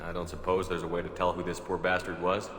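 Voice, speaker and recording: An actor playing a steady human military commander in a video game, direct and matter-of-fact. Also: male voice, low voice, fast talker. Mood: inquiring, steady. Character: steady, businesslike human male space commander. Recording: echoey hall with long reverb.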